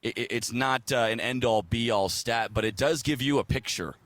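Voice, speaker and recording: A man's voice: mid-range voice, normal speed, a bit bright, no echo. A man talking as a guest sports broadcaster, explaining hockey analysis in a casual, conversational way. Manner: explanatory, casual, checks in with "right?".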